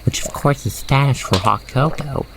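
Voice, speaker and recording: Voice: mid-range voice, moderate pace, gravelly. A storyteller narrates an ASMR roleplay directly to the listener with playful, wordy storybook phrasing. The recording has faint noise with crickets and insects behind the voice. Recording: faint background noise, cricket night ambience.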